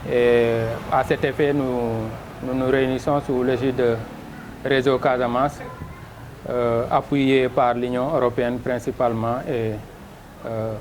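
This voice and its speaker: Local official, male, Senegalese accent. Flat intonation, formal halting delivery, light background noise on location. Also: medium pitch. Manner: measured, formal, halting phrasing. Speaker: local elected official interviewed. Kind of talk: formal on-camera interview statement.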